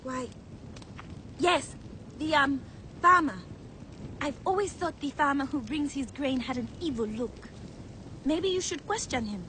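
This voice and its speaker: Young priestess, high pitch, demure, careful, evasive, faint rumble behind, video game. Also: female voice, expressive, unhurried, pauses often, pushed and bright, exaggerated voice. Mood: hesitant, suspicious.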